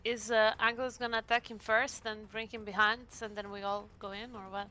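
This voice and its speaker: A woman's voice: a little high, flat delivery, a little fast, bright, forceful tone. A woman playing a tabletop roleplaying game, talking through her moves in casual chat.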